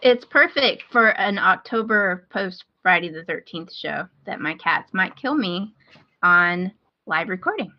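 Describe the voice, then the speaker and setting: A woman's voice: lowish voice, lively intonation, a little slow, dry recording, muffled recording. A woman hosting a podcast, talking casually in a chatty, enthusiastic way about things she recommends. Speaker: podcast host.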